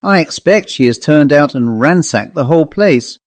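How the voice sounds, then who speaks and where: A man's voice: on the high side, expressive, moderate pace, no room sound, lightly acted. A man voicing a keen, genteel older woman antiques dealer in a storybook reading, chatty and politely determined. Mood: musing.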